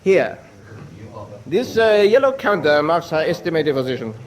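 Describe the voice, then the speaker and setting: An actor playing a guarded, formal German admiral at a U-boat base, speaking in measured radio drama style. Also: male voice, a little high, very animated. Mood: formal, assured.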